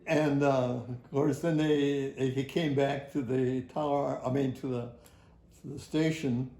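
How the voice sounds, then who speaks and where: An older man tells a personal story from his working life in a halting, rambling, folksy way. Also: mid-range voice, fairly even intonation, dark tone, echoey room. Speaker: retired air traffic facility worker. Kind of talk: personal oral history storytelling.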